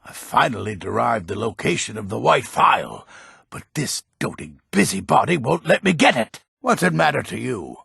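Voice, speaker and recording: Gravelly, grumpy old man. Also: mid-range voice, big pitch swings, moderate pace, sharp tone, no echo, clean studio sound, full character voice. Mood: curt, grumpy. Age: older. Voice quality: gravelly, husky, raspy. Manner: grumbling, emphatic, theatrical. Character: grumpy old man, crafty merchant and schemer. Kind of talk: fantasy video game character acting.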